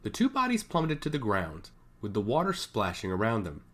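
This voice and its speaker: A man reads a fantasy story aloud with great animation. His pitch swoops high and low through every sentence, which gives the narration a sing-song lilt. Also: wildly swooping pitch, fairly quick, fairly bright tone, slightly rough.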